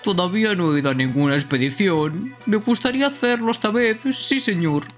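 A woman voicing a loud, eager monster apprentice in an amateur video game dub. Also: medium pitch, animated, fairly quick, faint music behind, voice pushed up, lightly acted. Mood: eager, excited.

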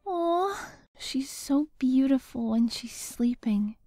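Woman as a piercingly high, bossy young warrior. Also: animated, slow pace, mellow, no echo, clean studio sound, faint music behind, full character voice. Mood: tender, theatrical. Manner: domineering, dramatic. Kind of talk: anime parody dub.

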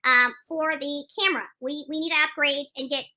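A woman's voice: high-pitched, steady tone, brisk, bright, forceful tone. A middle-aged woman ranting to viewers on a livestream in a confrontational, scolding manner. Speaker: livestream channel host. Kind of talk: indignant livestream rant to viewers. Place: small room indoors, seated on a couch.

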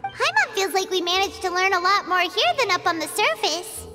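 A woman's voice: very high-pitched, very animated, moderate pace, music in the background. A woman voicing a tiny, childlike fairy girl in a video game, curious, eager and exclamatory. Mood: thoughtful. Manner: exclamatory, inquisitive, speaks of self in third person. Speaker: game character voice actor. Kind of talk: cartoonish video game dialogue.

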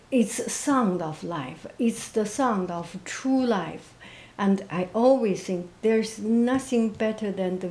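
A woman of medium pitch speaks English as a second language. Her voice is soft, quiet and monotone, with little force behind it. She answers at a very slow pace, gently and haltingly, reflecting as she goes. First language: not English. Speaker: interviewee, painter and teacher.

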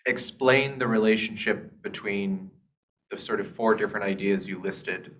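A man's voice: on the low side, normal speed, fairly bright tone, slight background noise. Man asking polite questions. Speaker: audience member asking questions.

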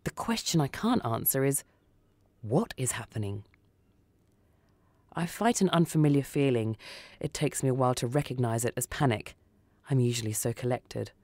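A man's voice: mid-range voice, animated, moderate pace, long pauses, darkish tone, no room sound. A man reading a scripted first-person suspense story for radio. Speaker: story reader.

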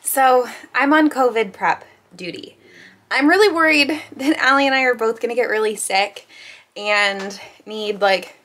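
Chatty, fairly high-voiced young woman. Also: measured pace, fairly bright tone, slight background noise. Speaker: vlogger. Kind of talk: casual vlog chat. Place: rooms of a home. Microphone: handheld camera at arm's length.

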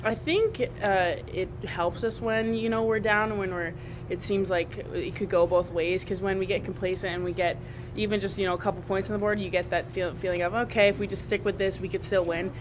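A young adult woman rugby player answering press questions at a table mic, earnest and restarting her phrases. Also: a little fast. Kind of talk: postgame press conference answers. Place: press room at a draped table. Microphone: table mic on a small stand. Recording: steady background rumble.

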